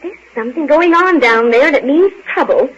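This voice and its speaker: An actress in an old-time radio drama playing an anxious, determined wife who speaks urgently on a muffled recording. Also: female voice, high voice, lively intonation, a little fast. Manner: urgent, insistent. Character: anxious determined wife bent on saving her husband.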